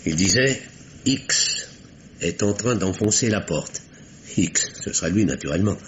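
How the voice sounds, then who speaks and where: A courteous, nervous bourgeois man in a 1950s French radio mystery. His voice is fairly low but animated, formal and a little flustered. Faint noise sits on the vintage recording. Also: unhurried. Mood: careful recounting. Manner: formal, precise wording, slightly flustered.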